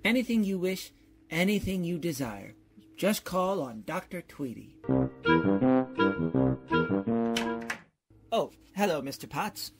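A man's voice: high-pitched, very animated, moderate pace, over loud music. A man playing a courtly, bumbling, genial college professor, polite and slightly pompous, in old-time radio comedy style.

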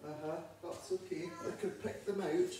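A woman's voice: fairly low pitch, steady tone, moderate pace. An older woman, a grandmother helping a child cook, giving brief matter-of-fact instructions from across a home kitchen. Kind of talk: offhand remarks from off camera. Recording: echoey room, distant from the mic, loud background noise.

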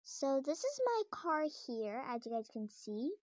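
A young girl with a high voice chats her way through a tour of her game house, rambling and restarting often. She films the tablet screen from close up in a dry, close-sounding room. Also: big pitch swings, unhurried, darkish tone, no room sound. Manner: chatty, rambling, many fillers and restarts. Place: indoors, filming a tablet screen.

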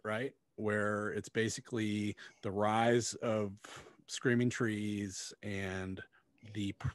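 A man's voice: on the low side, no echo. A middle-aged man co-hosting a podcast in casual, blunt, sweary chat, speaking close to the microphone. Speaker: podcast co-host. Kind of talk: casual book review chat. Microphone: close podcast microphone with headphones.

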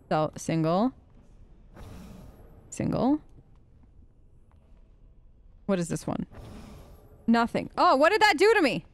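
A woman doing casual, chatty role-play game commentary with a playful, wry manner. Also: a little high. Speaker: gamer, let's player.

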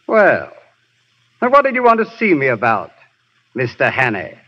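Silky sinister professor. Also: male voice, mid-range voice, wildly swooping pitch, normal speed. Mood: probing. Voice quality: smooth, silky and menacing. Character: suave sinister male professor, spy chief. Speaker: villain. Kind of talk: 1930s radio drama acting. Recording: dull 1930s radio broadcast.

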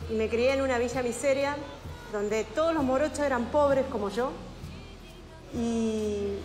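A woman in her thirties with an Argentine accent, telling her personal story to a listening group. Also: fairly high pitch. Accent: Argentine Spanish. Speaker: Mapuche activist and documentary protagonist. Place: classroom, indoors. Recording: echoey classroom with music behind.

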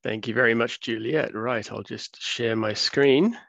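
A man from Wales in his thirties giving a relaxed science talk on a video call, with many fillers. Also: mid-range voice, measured pace, gravelly, no echo. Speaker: research fellow giving a public talk. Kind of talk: academic webinar lecture. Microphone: computer mic on video call. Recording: dull, dry webinar audio.

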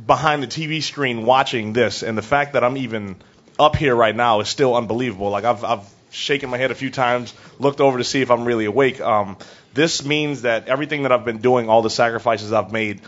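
A young man answering questions at a press conference, rambling and excited, full of restarts. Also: mid-range voice, brisk. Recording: dull lo-fi press audio with faint noise.

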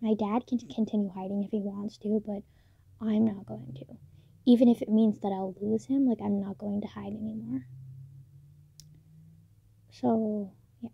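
Soft-spoken teen girl, hushed and gravelly. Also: mid-range voice, fairly even intonation. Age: late teens. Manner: soft, hushed, halting with many fillers. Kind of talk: late-night personal vlog. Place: dim bedroom at night. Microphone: phone or camera built-in mic, close. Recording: dull lo-fi built-in mic, dry.

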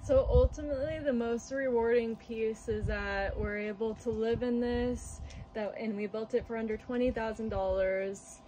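A woman's voice: a little high, moderate pace, poor audio. Chatty young woman touring her tiny house. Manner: relaxed, chatty, with fillers and small laughs. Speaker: tiny house owner giving a tour. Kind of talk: casual home tour. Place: small wooden tiny house, indoors.

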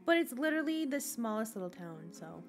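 A woman's voice: a little high, very animated, a little fast, soft background music. Giggly woman streamer chatting. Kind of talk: casual game stream chat, reading game dialogue aloud.

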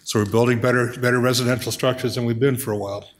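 A middle-aged man speaking as a city council member, earnest and thinking aloud with many pauses and fillers, at a desk microphone in a council chamber. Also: medium pitch, fairly even intonation. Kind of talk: council meeting remarks.

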